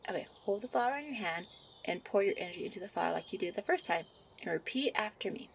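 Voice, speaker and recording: Shy fairy sweetheart played by a woman for ASMR roleplay: soft, teasing, flustered, medium pitch, birds and ambient noise behind. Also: lively intonation, fast talker, gravelly. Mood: gentle, instructive. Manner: soft, coy, easily flustered. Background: birdsong and outdoor ambience.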